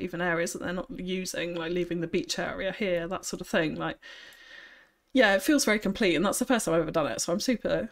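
A young woman with a high-pitched voice chatting on a live stream, bubbly and giggly, often thanking viewers. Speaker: streamer building a city. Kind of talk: casual live-stream chat.

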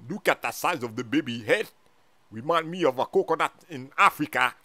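A man's voice: a little high, animated, a little fast, bright, forceful tone, dry recording. A man voicing a blunt, mischievous and rude male sidekick in a comedy skit, with muttered slangy talk. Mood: skeptical.

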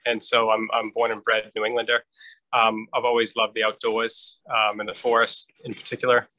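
A middle-aged man from New Hampshire talks steadily through a headset on a video call. His tone is flat and even, with a bright, forceful edge. The sound is dry and close, and he pauses often on ums and restarts. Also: lowish voice, no echo, low-quality recording. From New Hampshire, United States. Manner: measured, thoughtful, with frequent ums and restarts. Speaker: guest scientist. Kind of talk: interview over video call. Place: lit home room, indoors.

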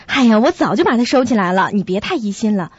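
A woman playing a sensible, caring young flatmate who narrates and acts in a radio drama, with a husky, breathy voice. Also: fairly high pitch, fast talker, no room sound, lo-fi sound. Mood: reassuring.

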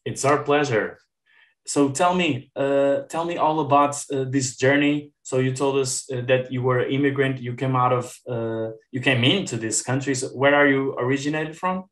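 A man's voice: mid-range voice, moderate pace, a bit bright, reverberant. A man hosting a real estate podcast over a video call with a headset, upbeat and repeating words as he asks questions. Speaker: podcast host. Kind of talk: interview hosting. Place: indoor room.

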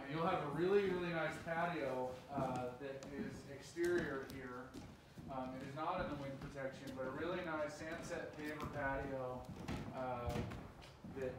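A man's voice: mid-range voice, steady tone, moderate pace. A man leading a casual walking tour of a building site, pointing things out with frequent fillers. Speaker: tour guide. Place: large unfinished timber building under construction. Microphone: camera from a distance. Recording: echoey room, distant mic, background noise.

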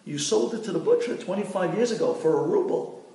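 A man's voice: high-pitched, expressive, a little fast, dark tone. A man voicing a stern heavenly court handing down a final verdict. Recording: echoey room, distant mic.

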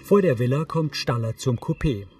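A man's voice: medium pitch, animated, moderate pace, a little mellow. A man reading terse, matter-of-fact scripted scene narration in the present tense, as an audio describer. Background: music behind the voice.

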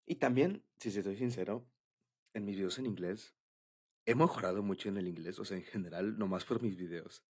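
A young Mexican man with a lowish, husky voice talks as a boyfriend in an intimate ASMR roleplay. He rambles casually and informally, with slang and a giggly manner. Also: moderate pace, darkish tone. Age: young adult.

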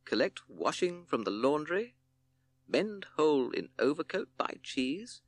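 A man's voice: fairly high pitch, sing-song, a little slow, dry recording, voice pushed up, exaggerated voice. A pompous owl lawyer, as a man puts him on. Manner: pompous, formal legal speech. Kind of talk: storybook character voice.

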